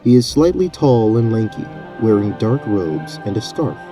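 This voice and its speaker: A man narrating a scripted fantasy tabletop story as game master in a measured, descriptive way. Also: lowish voice, sing-song, over music.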